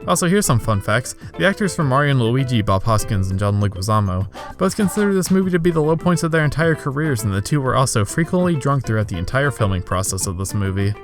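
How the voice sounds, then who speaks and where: Man, rapid delivery, sarcastic video-essay narration, exasperated, background music. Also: mid-range voice, sing-song. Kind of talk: scripted comedic review narration.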